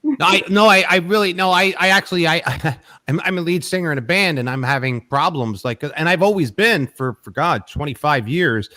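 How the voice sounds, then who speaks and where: Male podcast host, slightly high voice, stammers and repeats words, jokey. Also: brisk, pushed and bright. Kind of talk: casual podcast chat.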